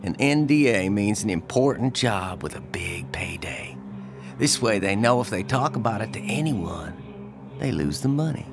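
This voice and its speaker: An actor playing a shady, breezily ruthless older brother and political fixer in an audio drama, with a folksy, glib and coarse manner. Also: male voice, lowish voice, animated, normal speed, over music. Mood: reassuring, sly.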